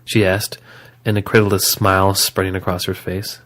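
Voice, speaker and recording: A man's voice: low voice, flat delivery, normal speed, a bit bright. A man reading scripted story narration as the narrator of an audio drama.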